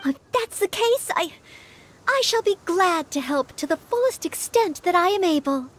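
An actress playing a humble, earnest teenage maid knight-in-training in an anime-style game, polite, hesitant and eager to serve. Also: female voice, very high-pitched, expressive, moderate pace. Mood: shy, hopeful.

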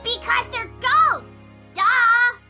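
A woman voicing a hyperactive, cheeky little boy bee in a cartoon fan dub, excitable and childish. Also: very high voice, drawn-out words, soft background music, heavily acted. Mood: spooked.

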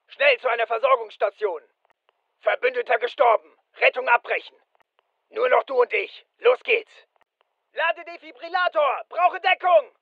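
A male actor playing a brisk, businesslike fireteam soldier in a video game, giving clipped, matter-of-fact callouts. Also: very high voice, no echo, highs cut off. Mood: urgent.

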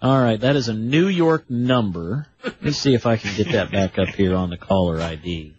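A man's voice: fairly low pitch, expressive, normal speed, noisy background. American radio man, dull lo-fi sound. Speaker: radio call-in show host. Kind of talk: folksy live radio call-in hosting.

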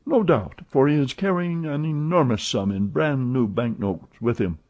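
A man putting on an excitable young French manservant. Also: mid-range voice, highs cut off, voice pushed up, lightly acted. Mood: excited. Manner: eager, exclamatory, quick to protest.

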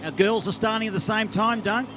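A man's voice: high voice, a little fast, a little hiss or hum. Chatty man calling a swim race. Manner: chatty, excitable. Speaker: race commentator. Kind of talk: live race commentary. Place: outdoors at a beach event.

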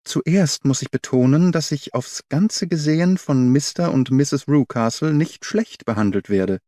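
An earnest young governess read by a man gives a measured, articulate account in an audiobook story. The voice is mellow and soft, in a very dry recording. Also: fairly high pitch, dry recording, natural voice. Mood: earnest, uneasy. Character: earnest young woman, a governess recounting her worries. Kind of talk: scripted audiobook character reading.

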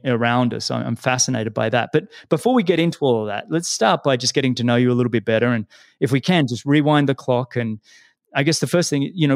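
Host voice: a mid-pitched man, friendly and casual, tripping over repeated words, dry recording. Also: dry recording. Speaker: podcast host. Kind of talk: casual interview chat.

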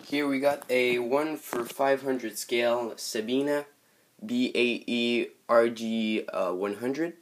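Slow, hesitant man with a Quebec French accent. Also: mid-range voice. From Montreal. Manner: hesitant, many ums and uhs. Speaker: model airplane collector. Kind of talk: casual show-and-tell vlog. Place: bedroom with model airport table, indoors.

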